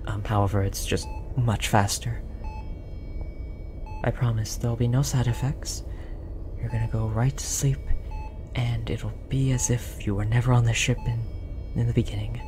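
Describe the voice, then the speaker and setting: A performer voicing a gentle, apologetic alien researcher, speaking softly and hesitantly in an earnest, reassuring roleplay style. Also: mid-range voice, steady tone, normal speed, close to an everyday voice. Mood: soothing, gentle. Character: tall, apologetic, gentle alien researcher soothing an abducted captive. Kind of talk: roleplay audio drama, soft comforting delivery. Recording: audible background noise, monitor beeping.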